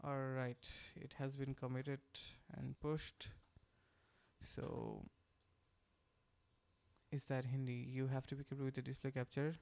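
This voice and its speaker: A man's voice: mid-range voice, measured pace, creaky, long pauses. A young man from Bangladesh with a Bangladeshi accent, a native Bengali speaker, chatting casually and thinking aloud while he codes on a live stream. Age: young adult. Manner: relaxed, thinking aloud, reading chat and answering. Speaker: streamer and developer.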